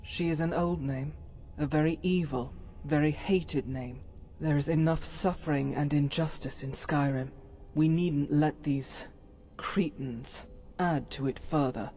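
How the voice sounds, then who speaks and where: A woman's voice: low-pitched, steady tone, measured pace, a little mellow. Woman acting as a solemn, righteous holy warrior woman. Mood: solemn, disdainful. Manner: formal, archaic, earnest phrasing. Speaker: companion character in a game mod. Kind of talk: fantasy game character acting.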